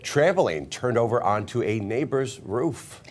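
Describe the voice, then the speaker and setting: A man's voice: mid-range voice, lively intonation, unhurried. A man with an American accent reading scripted news as a television anchor.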